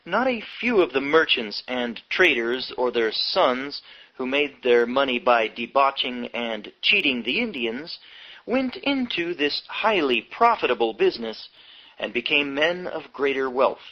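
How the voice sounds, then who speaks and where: A dull, lo-fi recording of a man narrating a history audiobook. He reads at a slow pace, breaking the text into short, measured phrases. His pitch is average for a man. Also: sing-song. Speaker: audiobook reader. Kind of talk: scripted narration of a history book.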